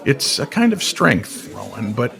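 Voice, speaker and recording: A man playing a weary, enigmatic stranger in a radio drama, speaking in a calm, measured way. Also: medium pitch, creaky, full character voice. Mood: gently solemn. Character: weary, cryptic male stranger who knows hidden truths. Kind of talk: old-time radio drama acting. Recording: crowd chatter behind the voice.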